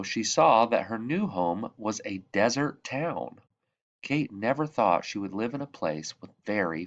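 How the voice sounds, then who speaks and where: A man reading a children's picture book aloud in measured phrases that drop softly at the ends of sentences. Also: on the low side, dull audio. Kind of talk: read-aloud storybook narration.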